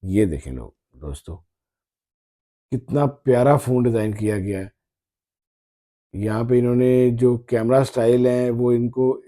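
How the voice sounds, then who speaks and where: Very mellow, dark-voiced man. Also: mid-range voice. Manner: halting, repeats phrases. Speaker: tech review vlogger. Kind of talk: casual phone unboxing commentary.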